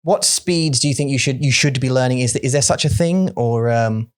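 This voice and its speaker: A man hosting a podcast, asking questions in quick bursts with frequent restarts. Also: mid-range voice, clear, resonant tone. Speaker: podcast host. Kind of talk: interview conversation.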